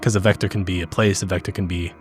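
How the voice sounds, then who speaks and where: A man improvising in character in a tabletop game, with a blunt, sweary and halting casual delivery. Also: sits low, flat delivery. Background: background music.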